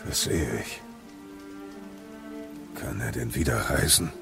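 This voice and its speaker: Warrior god and father, very deep bass, heavy gravel, terse, stern, commanding, audio drama. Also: takes it slowly, over music, full character voice. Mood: terse, restrained. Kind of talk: dramatic audio play acting.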